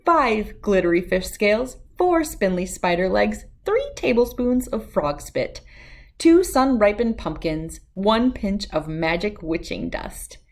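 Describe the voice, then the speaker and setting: A woman reading a children's story aloud as narrator, with expressive, dramatic and deliberate phrasing. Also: mid-range voice.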